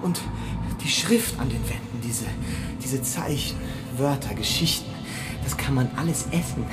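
An actor playing a frightened, confused young loner who stammers apologetically in a radio drama, speaking haltingly and repeating words. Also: mid-range voice, over loud music, natural voice. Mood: anxious, pleading. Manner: halting, repetitive, nervous stammering.

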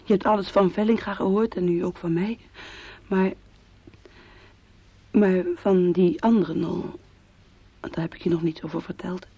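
Husky, anguished young woman pleading. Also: fairly low pitch, fairly even intonation, measured pace, pauses often, mellow, dry recording, full character voice. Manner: intimate, imploring. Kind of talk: radio play acting. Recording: dull old radio play recording.

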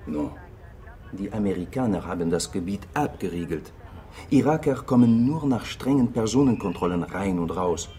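A man's voice: fairly low pitch, animated, moderate pace, dark tone, lightly acted. A man playing a wary, matter-of-fact seasoned correspondent in a radio drama. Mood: warning.

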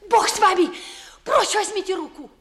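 High-pitched, pert young housemaid. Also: female voice, moderate pace, a bit bright. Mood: pert rebuff. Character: brisk, cheeky young housemaid, anxious and scolding. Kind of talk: 1950s theatrical radio play acting in verse.

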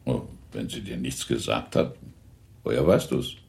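A man's voice: very deep voice, unhurried, creaky, darkish tone, roomy sound, exaggerated voice. An actor playing a genial, teasing older husband and father in a radio drama, musing in a wry, rambling way. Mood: worried, probing. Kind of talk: German radio drama acting.

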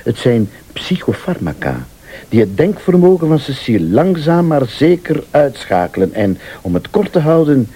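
Shrewd accuser in a radio thriller, voice swings up and down, mellow, formal and insinuating. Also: male voice, mid-range voice, sing-song, breathy edge. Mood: accusing. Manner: deliberate, theatrical, formal, insinuating. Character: male late-night accuser, shrewd, insistent, laying out a murder plot. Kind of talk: Dutch-language radio thriller acting.

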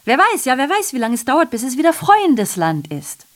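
An actress playing a sardonic messenger and broadcaster of grim past events in a radio drama, mocking and theatrical, sometimes confiding. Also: female voice, high-pitched, wildly swooping pitch, brisk.

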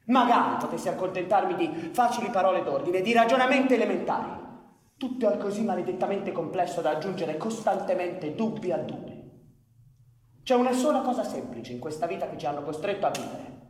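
A man playing a sardonic, world-weary anarchist intellectual in radio theatre, arguing in an articulate, ironic and deliberate way. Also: high-pitched, lively intonation. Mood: weary, brooding. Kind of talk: Italian radio theatre acting. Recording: echoey hall, long reverb, background noise.

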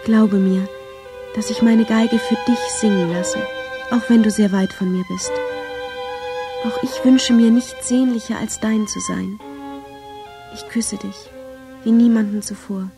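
A woman playing a tender, lovestruck young violinist of the 1910s, reading her love letters in a measured, yearning radio drama style. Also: mid-range voice, steady tone, lightly acted. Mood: wistful, devoted. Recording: clear violin music under the voice. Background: violin music.

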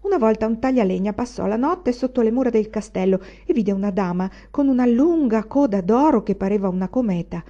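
A woman's voice: fairly high pitch, lively intonation, brisk, hardly any pauses, mellow, pitched above the performer's own voice. A woman voicing a superstitious, talkative old female servant in an audiobook. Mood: curious. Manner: fussy, chattering storyteller. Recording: dull lo-fi recording.

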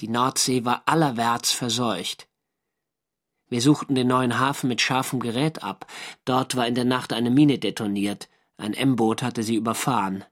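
An actor playing a German navy skipper who narrates his First World War diary in a measured, dry, literary way for a radio drama. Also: medium pitch, fairly even intonation, close to an everyday voice. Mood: matter-of-fact.

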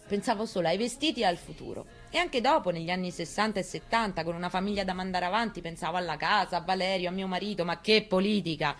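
An actress in a radio stage reading, playing an elderly grieving mother in a quiet, reflective monologue. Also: fairly low pitch, lightly acted. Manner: quiet, reflective, conversational storytelling.

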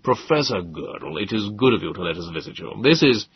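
A man voicing a cautious, blustering British gentleman who speaks slowly and in hushed tones. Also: fairly low pitch, sing-song, breathy edge, no room sound, full character voice. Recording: dull lo-fi recording.

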